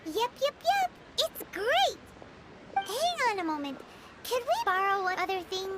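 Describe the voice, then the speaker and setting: A woman voicing a small, cheerful, excitable fairy companion in a video game, with a squeaky childlike voice and bubbly manner. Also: unhurried, heavy background noise, heavily acted. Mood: cheerful, eager. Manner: bubbly, exclaiming, speaking to a traveling partner.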